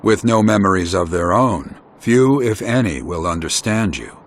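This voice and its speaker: A man playing a weary, wise old warrior in a video game, speaking in measured, formal phrases. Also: on the low side, expressive. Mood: grave. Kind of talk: scripted video game voice acting.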